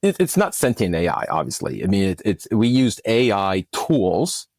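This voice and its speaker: A man with a lowish voice answers as a podcast guest. He is casual and enthusiastic, often circling back mid-thought, in a dry, close-sounding recording. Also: big pitch swings, moderate pace, darkish tone, dry recording.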